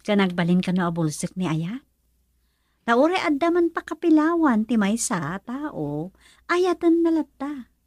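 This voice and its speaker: An actress with a northern Philippine accent playing a frank, supportive young best friend in a radio drama, animated and questioning. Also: female voice, on the high side, measured pace, no echo, clean studio sound. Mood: frank, curious. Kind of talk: Ilocano radio drama acting.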